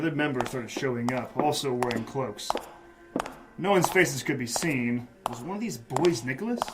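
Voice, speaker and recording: A man's voice: mid-range voice, close to an everyday voice, low-quality recording. A man playing a wry, tough private detective in a noir audio drama, narrating and speaking in the first person. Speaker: lead actor and narrator.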